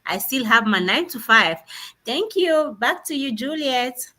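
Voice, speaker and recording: A woman's voice: high-pitched, animated, measured pace, sharp tone. A woman co-hosting a live online talk, upbeat and enthusiastic. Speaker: co-host. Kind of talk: live stream introduction.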